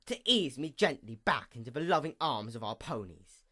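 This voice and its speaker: A performer acting out a bitter pony goddess of the night, female and vengeful. The delivery of this villain monologue is grandiose and formal, with a mid-pitched voice that sweeps widely between fierce outbursts and hushed menace. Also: very animated, normal speed. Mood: scornful, brooding. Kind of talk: dramatic reading, theatrical villain monologue.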